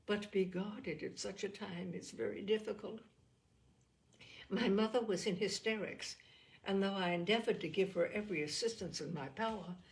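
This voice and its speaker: A woman voicing a gentle, anxious, forgiving young sister, earnest and measured, in a storybook reading. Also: medium pitch, close to an everyday voice, rough recording. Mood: anxious, sorrowful.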